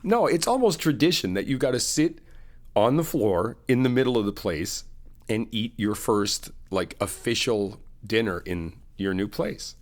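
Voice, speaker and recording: A man's voice: lowish voice, lively intonation, a little slow. A man in a friend roleplay, chatting casually and upbeat to the listener, laughing often and teasing. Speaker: ASMR roleplay creator playing a best friend.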